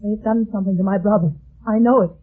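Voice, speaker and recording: A woman's voice: medium pitch, steady tone, fast talker, husky, close to an everyday voice. An actress playing an anxious, earnest young woman pleading for help in an old radio mystery. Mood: distressed. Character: anxious, earnest young woman seeking her missing brother. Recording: tinny, narrow-band old radio recording.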